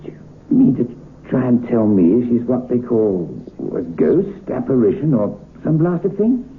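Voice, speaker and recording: Animated, sceptical hotel guest, played by a man. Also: mid-range voice, unhurried, phone-quality sound, natural voice. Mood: incredulous. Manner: blunt, incredulous, questioning. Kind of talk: old-time radio drama acting. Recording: thin, hissy vintage radio recording.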